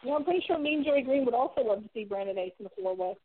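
A woman's voice: fairly high pitch, expressive, racing, narrow, tinny audio, crowd noise. A woman co-hosting a casual chat with dry, wry remarks. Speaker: co-host.

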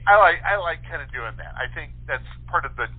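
A man talking casually as an interview guest, thoughtful and halting, often rephrasing as he explains his writing. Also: a little high, animated. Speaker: guest playwright, actor and director. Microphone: telephone call. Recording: tinny phone-line sound.

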